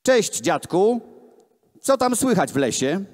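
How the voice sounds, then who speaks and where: An eager, restless young inventor from a children's storybook , voiced by a man reading aloud. The voice is raised and lively, excited and insistent. It rings through an echoing hall. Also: sits high, slow pace, pitched above the performer's own voice, full character voice. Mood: cheerful, proud. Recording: echoey hall, amplified voice filmed from the room.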